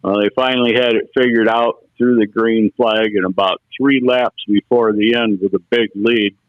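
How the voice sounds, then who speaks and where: A middle-aged man speaks over a narrow, tinny phone connection in a fairly low voice. He is a former racer reminiscing casually, spinning folksy anecdotes as an interview guest. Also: fairly even intonation, moderate pace, no echo. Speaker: former race car driver, interview guest. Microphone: telephone. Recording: muffled, narrow telephone line.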